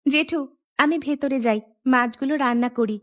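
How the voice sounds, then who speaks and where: A woman voicing a young, dutiful and brisk daughter-in-law in a village cartoon. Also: sits high, no room sound, studio quality, close to an everyday voice. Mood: eager, polite.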